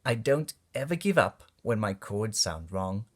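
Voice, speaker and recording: Male storybook reader for young kids, lively and varied intonation, unhurried pace, expressive phrasing. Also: on the low side. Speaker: children's book reader. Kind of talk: storybook read-aloud for kids.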